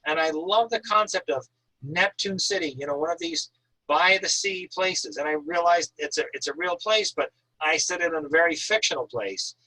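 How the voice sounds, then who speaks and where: Bright, husky American man. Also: on the high side, moderate pace, low-quality recording. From Northern Maine, USA. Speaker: author reading and discussing their own thriller. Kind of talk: prose reading and informal book talk.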